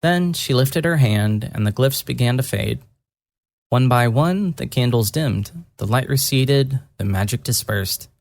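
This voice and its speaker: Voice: mid-range voice, expressive, unhurried, creaky, darkish tone, no room sound. A narrator reading a dramatic first-person story in a scripted style.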